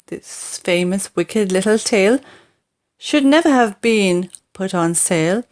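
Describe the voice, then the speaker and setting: A woman reading children's verse aloud in an expressive, theatrical, deliberate storytelling style with emphatic delivery. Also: medium pitch. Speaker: audiobook reader.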